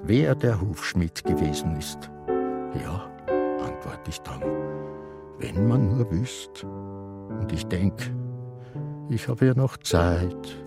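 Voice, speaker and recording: An actor playing a grumpy, rambling old Austrian man in a sanatorium, with halting speech, in a radio drama. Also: deep voice, animated, over loud music, exaggerated voice. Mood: musing, wistful. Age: older. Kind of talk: radio drama acting with inner monologue.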